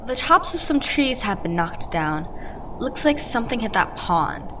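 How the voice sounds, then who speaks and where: A woman's voice: fairly high pitch, sing-song, a little slow, noisy background. A woman voicing a male state trooper in a school radio play, speaking in a dry, matter-of-fact way. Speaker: student actor.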